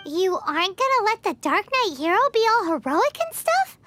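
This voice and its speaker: A little fairy companion, chirpy and cheeky. Her voice sits very high and leaps around. Some noise hums under the game audio. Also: sing-song, normal speed, fairly bright tone, full character voice. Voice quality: high squeaky childlike voice. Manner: bubbly and cheeky. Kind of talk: video game cutscene dialogue.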